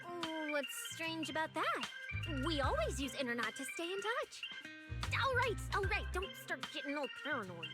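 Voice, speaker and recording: Excitable young anime game officer girl, high voice swooping around, dodgy and self-important, music behind. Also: moderate pace. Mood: defensive. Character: evasive, excitable young woman posing as a senior officer. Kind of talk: anime video game voice acting.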